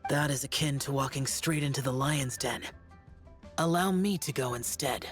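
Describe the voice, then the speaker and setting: An actor playing a stern, loyal young demon-slaying guardian in a video game, with a husky, breathy voice and grave, terse delivery. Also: male voice, mid-range voice, moderate pace, over music, natural voice. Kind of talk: game voice acting.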